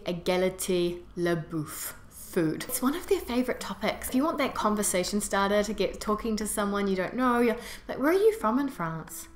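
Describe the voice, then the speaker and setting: Casting: young New Zealand woman, chatty expat vlogger, emphatic delivery, roomy sound, music underneath. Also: medium pitch. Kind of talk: casual to-camera vlog chat. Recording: roomy echo with music behind. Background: background music.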